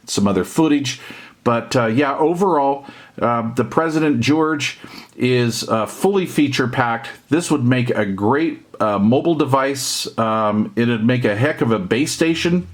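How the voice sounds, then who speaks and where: Mature male hobbyist reviewer, mid-range voice, conversational and unscripted, with halting pauses. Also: medium pitch. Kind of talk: casual off-the-cuff product review.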